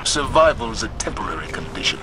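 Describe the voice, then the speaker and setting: An actor playing a stern military commander in a video game, authoritative, formal and measured. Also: male voice, on the low side, very animated, noisy background. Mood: grave, commanding. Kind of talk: video game mission dialogue. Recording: game music and effects behind.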